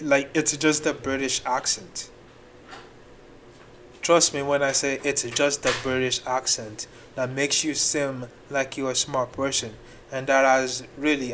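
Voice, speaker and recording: A man's voice: medium pitch, fairly bright tone, slight background noise. A man hosting a reaction video, talking back at clips in a rambling, exasperated way. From Nigeria. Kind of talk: casual reaction commentary.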